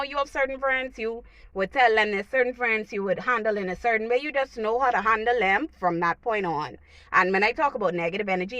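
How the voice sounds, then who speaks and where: A woman's voice: medium pitch, no echo. A young woman with a Bahamian accent giving casual advice to her viewers, emphatic and repeating herself for stress. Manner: animated, preachy, repeats phrases for emphasis. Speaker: vlogger. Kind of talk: casual advice talk to camera.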